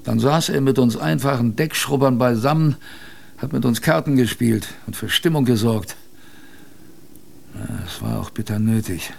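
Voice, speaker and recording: A man's voice: mid-range voice, gravelly, a little mellow, husky, exaggerated voice. A man playing a plain-spoken, wry sailor who tells his expedition tale chattily, straight to the listener, in a radio drama. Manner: chatty, addresses the listener directly. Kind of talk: radio drama first-person narration, colloquial. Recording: faint background ambience.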